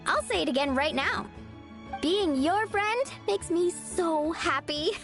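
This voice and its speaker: A woman's voice: very high-pitched, animated, slow, a bit bright, faint music behind, full character voice. A woman voicing a cheerful, openly affectionate young girl in a video game.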